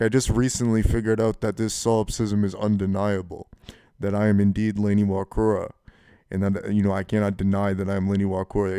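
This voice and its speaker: Deep, smooth-voiced young man rambling casually. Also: mellow, dry recording, clean studio sound. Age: 20s. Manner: casual, frank, swearing. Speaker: channel host. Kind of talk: rambling personal monologue.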